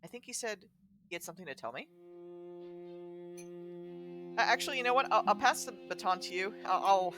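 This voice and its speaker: A woman's voice: medium pitch, a little fast, long pauses, music in the background. A woman co-hosting a story reading stream, switching between casual chat and reading aloud. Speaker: co-host of a visual novel reading stream.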